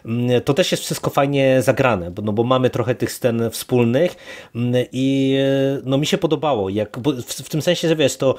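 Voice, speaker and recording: A man's voice: mid-range voice, normal speed, darkish tone. Man chatting in a hesitant, wordy way. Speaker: podcast co-host. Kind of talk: casual review discussion.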